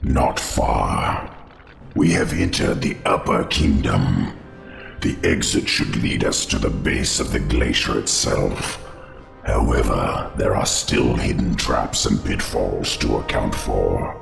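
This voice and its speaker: An ancient undead insect lord from a fantasy game, rumbles at an extremely low pitch. The voice is heavily gravelly and hollow, with slow, solemn phrasing. It echoes under music. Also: male voice, full character voice. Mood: grave, urgent. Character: ancient giant undead insect king, grave loyal guide. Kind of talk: fantasy video game acting. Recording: echoing voice under loud music.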